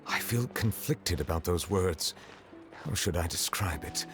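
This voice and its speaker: A man voicing a composed, formal chief justice in a video game, speaking in a measured, reserved way. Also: sits low, animated, over music. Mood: reflective.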